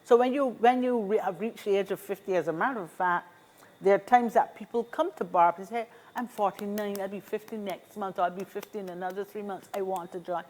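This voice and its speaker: A woman's voice: mid-range voice, a little fast, a little mellow, poor audio. A woman in her sixties with a Barbadian accent, giving encouraging advice in a conversational interview, emphatic and repeating key phrases. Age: 60s. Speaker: interview guest, seniors' advocate.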